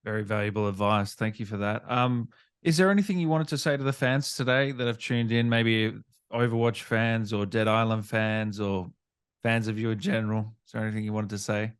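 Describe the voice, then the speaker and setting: A man's voice: lowish voice, fairly quick, clear, resonant tone. A man hosting a casual interview in a polite, appreciative manner. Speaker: interviewer, host.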